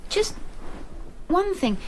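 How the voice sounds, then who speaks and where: An actress playing a patient woman who gently reassures a frightened witness while pressing her with careful questions. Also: very slow, slight background noise. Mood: coaxing. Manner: calm, reassuring, persistent questioning. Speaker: interviewer of a witness. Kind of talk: BBC radio drama acting.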